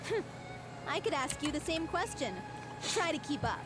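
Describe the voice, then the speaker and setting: A woman's voice: high-pitched, sing-song, normal speed, over music. A woman playing a spirited, teasing young theater troupe member in an anime fantasy game. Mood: competitive. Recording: noisy game sound behind voice.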